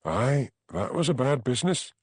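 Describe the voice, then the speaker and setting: A man's voice: mid-range voice, big pitch swings, normal speed, slightly rough, dry recording, studio quality, pitched above the performer's own voice. A man voicing a small, evasive, touchy Scottish villager with curt dialect speech in an audiobook. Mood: guarded.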